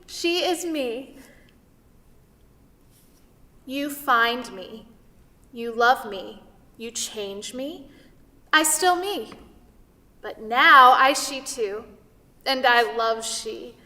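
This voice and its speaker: A female tree spirit, acted by a woman, speaks with a high, bright voice in short broken phrases. She is tender and insistent, in a staged play reading. The sound rings in a theatre hall. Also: lively intonation, exaggerated voice. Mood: adoring, emphatic. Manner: slow, simple broken grammar, emphatic.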